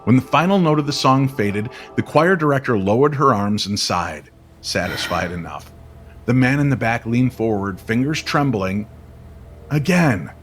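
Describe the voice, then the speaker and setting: A man reading scripted story narration in an old-time radio style, measured and dramatic. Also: mid-range voice, animated, fairly bright tone. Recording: music bed under the voice. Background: music.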